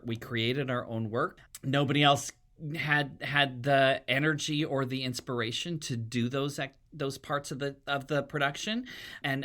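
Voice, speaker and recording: A man's voice: mid-range voice, a bit bright. A middle-aged man, talking as a theatre artist guest in a casual podcast chat.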